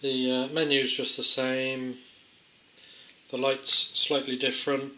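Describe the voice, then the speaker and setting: A man with a bright, mid-pitched voice talks through a hands-on gadget test. He is casual and understated, hesitating as he thinks aloud. The room echoes clearly around him, with faint noise underneath. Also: monotone, slow. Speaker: amateur product tester presenting a gadget. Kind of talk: casual unscripted hands-on demonstration. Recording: roomy echo, faint background noise, clicks.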